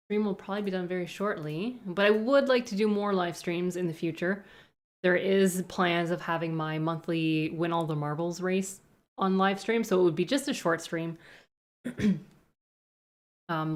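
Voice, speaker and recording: A young woman with a Canadian accent and a slightly low voice chats loosely with her viewers. She rambles, thinks aloud and hedges often as she answers questions. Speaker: streamer. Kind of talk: casual live-stream chat answering viewer questions.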